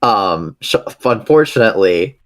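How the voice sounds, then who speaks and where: A man with a deep-pitched voice takes part in a tabletop game. He explains rules casually, pausing and hesitating as he thinks out loud. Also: animated.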